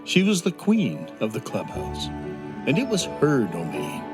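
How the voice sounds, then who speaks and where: Male narrator, children's audio drama, unhurried, animated pitch, instrumental music behind. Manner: gentle, unhurried storytelling. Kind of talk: scripted storybook narration for children. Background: soft instrumental music.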